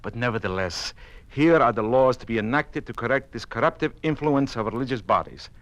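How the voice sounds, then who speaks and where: An actor playing a cold, humorless Soviet marshal in an old-time radio drama, giving orders in an imperious, formal, clipped manner. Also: male voice, mid-range voice, animated, fairly quick, no room sound, natural voice. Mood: smooth authority.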